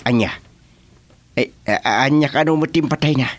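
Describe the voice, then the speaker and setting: An actor playing an animated, teasing village man with an Ilocano accent in a comedy radio drama, given to exclamations. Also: mid-range voice, moderate pace, no room sound, lightly acted. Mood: startled, teasing.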